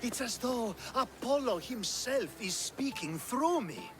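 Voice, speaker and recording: Flirty greek charmer from a game, high voice that swoops around, slow and teasing. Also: male voice, expressive, slight background noise, exaggerated voice. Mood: dreamy, charming. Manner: theatrical, drawn-out, teasing delivery. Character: flamboyant, flirtatious Greek charmer, lovestruck groom-to-be. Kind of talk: video game cutscene acting.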